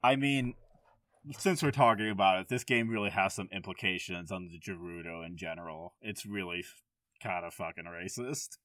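A man's voice: deep voice, normal speed, fairly bright tone, dry recording, clean studio sound. A man speaking frankly and casually as a podcast host, swearing now and then.

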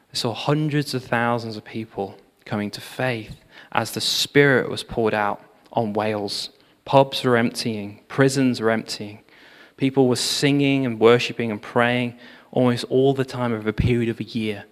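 Man, preacher, storytelling sermon, animated, echoing church hall. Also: medium pitch. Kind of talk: sermon on revival history. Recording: long hall reverb.

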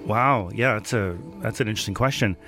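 Low-voiced thoughtful man from Denmark. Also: a bit bright, soft background music. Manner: thoughtful, many pauses and fillers. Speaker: guest. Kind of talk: reflective interview answers.

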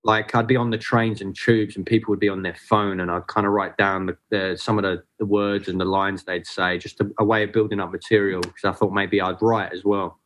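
A man's voice: on the low side, level tone, a little fast, few pauses, a bit bright. A man talking as a photographer guest in a casual podcast chat, hesitant with many fillers and restarts.

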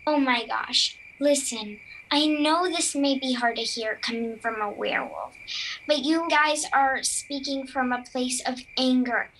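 Bright, high-voiced girl werewolf played by a child. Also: measured pace, a little hiss or hum, low-quality recording. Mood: earnest. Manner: earnest, exclamatory, conversational. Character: excitable, anxious young werewolf truck driver, earnest and sensible. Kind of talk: children's audio drama acting.

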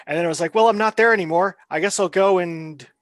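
A man with a slightly higher voice talks on a podcast in a relaxed way. He tells stories from his gaming years and slips into quoted voices. The sound is dry and close, with no room around it. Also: fast, no room sound. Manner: chatty storyteller, acts out quotes. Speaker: podcast co-host. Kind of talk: casual chat.

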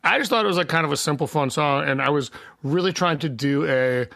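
A man's voice: fairly high pitch, brisk, gravelly, sharp tone, no echo, clean studio sound. A man guesting on a podcast, chatting casually about his songwriting in a rambling way with lots of fillers.

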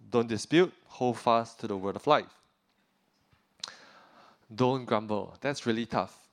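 A man from Singapore, preaching a sermon as a pastor, earnestly tagging "right?" onto points and dropping low and quiet on weighty lines. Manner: earnest preaching, often adds "right?" to check in with listeners; drops pitch and volume on weighty points.

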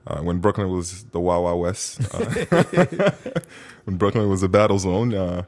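A man from Haiti telling his life story as a podcast guest, relaxed and self-mocking, with many fillers. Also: low voice, a little slow. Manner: relaxed storytelling, frequent fillers, bursts of laughter. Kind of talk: casual interview.

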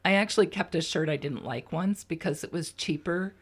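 A woman co-hosting a casual chat podcast, her voice husky and froggy from a cold, asking friendly questions and laughing often. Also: low-pitched, brisk. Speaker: podcast co-host.

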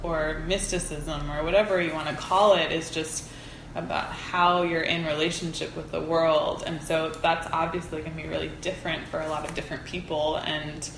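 A woman with a lowish voice talks casually and reflectively, as if working out her thoughts aloud. She is earnest and informal. The room echoes around her, with faint noise behind. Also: level tone, moderate pace, gravelly, a bit bright. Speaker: interview guest, folk practitioner. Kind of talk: casual reflective interview conversation. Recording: roomy echo, faint background noise.

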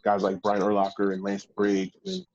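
A man, a professional football player, telling stories in a casual interview with a deep voice and a loose, rambling way of talking. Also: very animated, normal speed, darkish tone, dull audio. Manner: laid-back, rambling with restarts. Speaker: pro football player guest.

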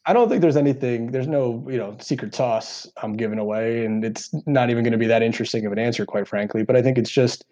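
An American man with a deep voice giving earnest, explanatory interview answers as a podcast guest, with frequent asides. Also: fast, few pauses, warm tone. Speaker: podcast guest, real estate executive.

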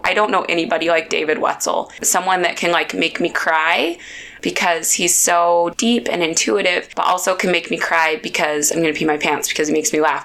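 A woman hosting a podcast in upbeat, chatty talk, a little hoarse and raspy from a sore throat. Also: lowish voice, sharp tone. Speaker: podcast host. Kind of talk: casual podcast chat.

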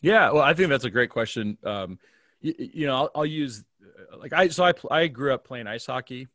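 A man's voice: medium pitch, expressive, a little fast, fairly bright tone, no echo. An American man from Alaska, a pastor, explaining faith conversationally and earnestly as an interview guest. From Alaska, United States.

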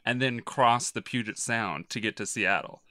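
A co-host on a casual movie podcast, animated, joking and quick to argue a point. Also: mid-range voice. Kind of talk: casual film discussion chat.

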